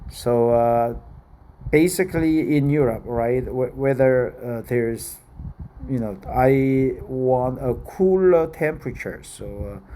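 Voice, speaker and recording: A man's voice: medium pitch, slow, dark tone, slight background noise. A Korean man in his fifties speaking English as a learner, with many fillers and careful non-native phrasing as he talks and then reads an article aloud. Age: 50s. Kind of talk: casual spoken practice, then slow reading aloud.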